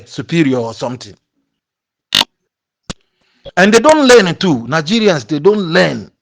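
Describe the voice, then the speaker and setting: Brief: man, fairly high voice, heated repetitive voice-note rant. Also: slight background noise. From Ghana. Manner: indignant, repetitive, stumbling restarts. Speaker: voice note sender. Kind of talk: recorded voice message rant.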